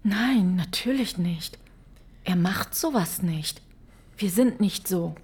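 Husky, guarded farm wife. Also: female voice, lowish voice, animated, takes it slowly, darkish tone, heavily acted. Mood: uneasy, defensive. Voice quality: husky, breathy. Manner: guarded, terse answers. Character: guarded, determined farmer's wife under questioning. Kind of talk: German radio drama acting.

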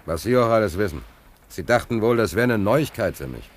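A man's voice: on the low side, animated, fairly quick. An actor playing a naive, stubborn stranded seaman in a German radio play, rambling and repeating himself as he protests plaintively. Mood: defiant, flustered. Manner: rambling, stammering, repeats words.

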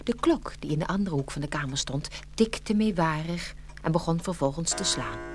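A woman's voice: low-pitched, animated, normal speed, faint music behind. A woman narrating a scripted fairy tale expressively, as a storyteller.

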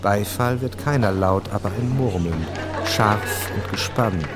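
An actor as the sober, literary narrator of a historical radio drama, telling it in a measured way. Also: male voice, fairly low pitch, over loud music, close to an everyday voice.

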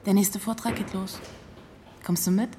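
An actress playing a casual, forthright young doctoral student in naturalistic radio drama, offhand and direct. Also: female voice, medium pitch, fairly even intonation, normal speed, dark tone, slight background noise, close to an everyday voice. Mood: casual, curious.

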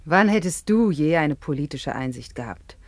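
An actress playing a proud, ambitious middle-aged Roman empress in a German radio play, haughty and accusing. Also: female voice, deep voice, expressive, moderate pace, dry recording. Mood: indignant, proud.

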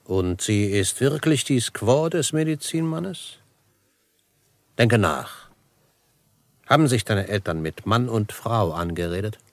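A shrewd frontiersman in a German radio western. His voice is on the low side and recorded dry, and he questions and explains with calm, measured formality. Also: no echo. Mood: probing. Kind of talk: German radio drama acting.

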